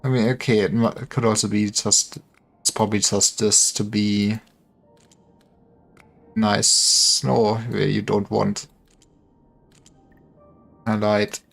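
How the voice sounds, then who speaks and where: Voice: medium pitch, flat delivery, slow, creaky, long pauses, a bit bright, faint music behind. A game streamer chatting casually on a livestream, thinking aloud and breaking off mid-thought. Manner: thinking aloud, self-interrupting.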